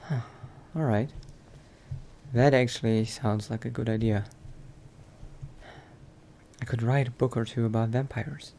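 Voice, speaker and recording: Guy roleplaying a thoughtful new vampire scholar, talks slow, thinking out loud, bit of noise behind. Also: mid-range voice, fairly even intonation, vocal fry. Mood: intrigued. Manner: musing aloud, halting, sighing. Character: thoughtful male college scholar turning into a vampire. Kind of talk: improvised in-character monologue in a roleplay playthrough.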